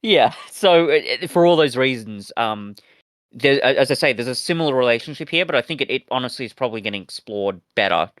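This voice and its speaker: A man co-hosting a review podcast in casual discussion, talking haltingly with a wry, sweary manner. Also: mid-range voice, expressive, fairly bright tone, dry recording. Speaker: podcast co-host reviewing audio dramas.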